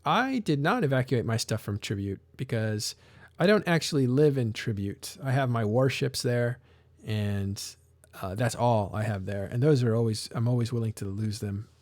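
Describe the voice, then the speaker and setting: A man's voice: on the low side, normal speed, darkish tone, no echo, studio quality. A man hosting a casual live stream, talking in a rambling way with many restarts and fillers. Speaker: live show host.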